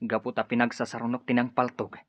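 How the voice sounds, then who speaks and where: A man's voice: mid-range voice, monotone, fast, a bit bright, no room sound, lightly acted. A man with a Filipino accent playing a ruthless, sneering rebel henchman in a radio drama. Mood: reporting, subdued. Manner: taunting, threatening. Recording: muffled lo-fi radio drama audio.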